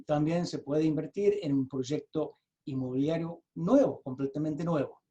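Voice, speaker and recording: An American-accented man, a native English speaker, presents over a video call in a fairly high but mellow voice. He explains step by step as a lawyer would. He is halting, often repeats words and restarts his sentences. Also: highs cut off. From United States.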